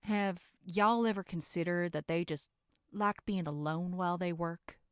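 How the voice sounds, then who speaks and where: A woman's voice: on the low side, measured pace, clean tone, dry recording, studio quality, close to an everyday voice. A woman playing an exasperated, level-headed villager with a folksy, sarcastic manner in a horror roleplay audio drama.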